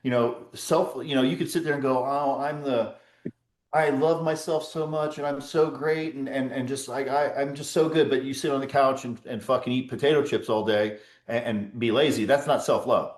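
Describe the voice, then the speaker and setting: A man's voice: mid-range voice, fairly even intonation, moderate pace. Encouraging man hosting a group call. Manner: encouraging, emphatic, repeats himself. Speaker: host of sobriety support call. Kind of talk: casual group chat. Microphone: video call. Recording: roomy echo.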